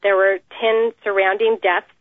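This woman speaks earnestly and steadily, pausing often with "um" as she tells her story. Her voice comes over a thin, tinny phone line. The sound is dry, with no room echo. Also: mid-range voice, little pitch movement. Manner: earnest, measured, many "um" pauses. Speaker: interview guest, mother. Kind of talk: phone interview, personal account.

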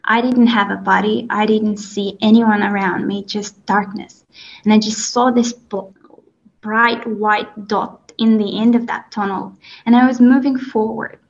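A woman's voice: mid-range voice, steady tone, gravelly, highs cut off. Earnest young woman telling her story. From Kazakhstan. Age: 20s. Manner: earnest, halting, with frequent fillers. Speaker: guest. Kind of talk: telling a personal story in an interview.

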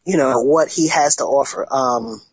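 A man hosting a casual internet talk show, speaking haltingly with many restarts and fillers. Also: mid-range voice, slightly rough, dry recording. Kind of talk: casual podcast chat. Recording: dull lo-fi sound, dry.

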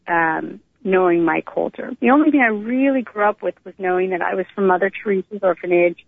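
A middle-aged American woman shares her personal story as an interview guest. Her voice has a gravelly fry and a medium pitch. She talks informally and conversationally, heard through a narrow, tinny phone line. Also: no room sound. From Northern California, United States.

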